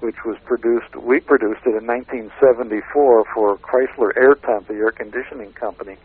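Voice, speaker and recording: A man speaks in a casual, explanatory interview style at a middling pitch, looking back on his work. His voice comes through a narrow, phone-like line that trims the highs and lows. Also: steady tone, brisk, slightly rough. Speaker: radio interviewer and producer as guest.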